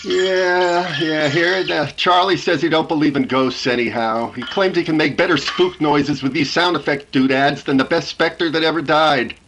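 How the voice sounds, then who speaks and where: A man playing a folksy, grumbling sound engineer in a 1930s radio drama, talking in slangy, halting phrases. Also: on the high side, noisy background, lightly acted.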